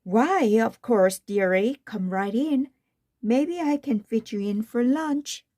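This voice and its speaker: A woman's voice: a little high, animated, slow pace, mellow, dry recording, studio quality. A woman voicing a sly storybook witch who coaxes in a falsely sweet manner. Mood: coaxing. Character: sly, sweet-talking gingerbread-house witch.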